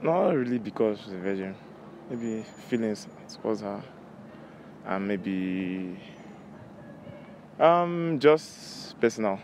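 A man's voice: fairly low pitch, expressive, slow pace, pauses often, a little mellow. A young man with a Nigerian accent giving casual interview answers outdoors. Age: young adult. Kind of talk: casual chat. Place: outdoors on campus. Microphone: handheld device held by interviewer. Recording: faint outdoor background noise.

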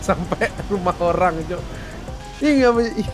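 High-voiced slangy man gaming. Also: unhurried. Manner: slangy, instructing, repetitive, uses vocal sound effects. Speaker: gaming companion. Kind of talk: casual gameplay banter. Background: music.

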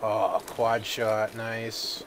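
A man who is feeling a little sick, giving relaxed let's-play commentary and thinking aloud with plenty of fillers. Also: on the low side, extremely slow, slight background noise. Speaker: gaming video host.

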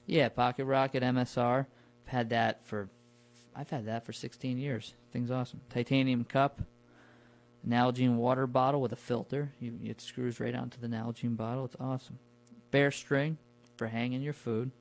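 A man with a middle-pitched voice talks informally, as if swapping stories with a buddy. His wording is loose and colloquial, and he recounts things in an easy conversational way. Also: little pitch movement, normal speed. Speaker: podcast guest recounting his camping trips. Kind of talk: casual conversational storytelling.

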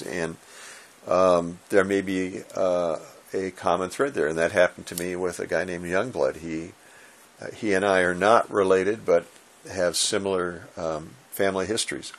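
Male, 60s, American. Deep voice with vocal fry, halting conversational delivery, informal instructional talk. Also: fairly bright tone. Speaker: ham radio hobbyist giving advice. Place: small indoor home radio room.

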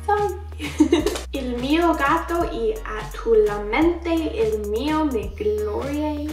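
A woman's voice: sits high, lively intonation, very slow, a little mellow. A young woman vlogging from her bedroom, giggling as she stumbles through phrases in other languages. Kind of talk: casual vlog reading phrases aloud. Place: bedroom, indoors. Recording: echoey room, a bit distant, faint music.

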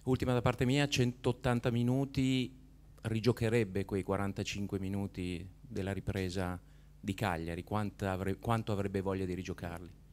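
Low-voiced journalist asking questions. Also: male voice, flat delivery, normal speed, a little mellow, slight background noise. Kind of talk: press conference questions.

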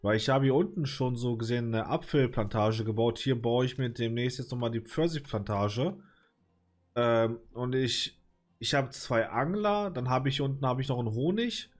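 A man with a cold commenting casually on his city-building game, hesitant and rambling with many fillers, clearing his throat now and then. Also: mid-range voice, moderate pace, faint music behind. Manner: rambling, hesitant, lots of filler, mild swearing. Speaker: let's play gamer.

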